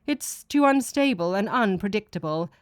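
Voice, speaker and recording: A woman's voice: medium pitch, normal speed, dry recording, studio quality, close to an everyday voice. Woman reading as a cold commanding god. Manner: stern, declaiming, calmly ruthless. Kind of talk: audiobook character reading.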